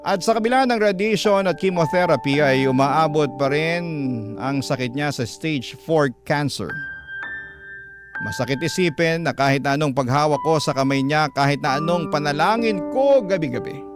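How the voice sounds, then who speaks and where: A man hosting a radio program, reading a letter as dramatic, emotive narration with deliberate delivery. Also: mid-range voice. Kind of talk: dramatic scripted narration in classic radio style.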